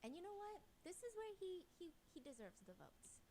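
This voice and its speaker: A woman's voice: very high voice, sing-song, moderate pace, warm tone, a little hiss or hum. A woman chatting casually as she recaps a show, acting out what people said as she goes. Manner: acts out quoted dialogue while recounting. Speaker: streamer recapping a dating show. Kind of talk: animated casual storytelling chat.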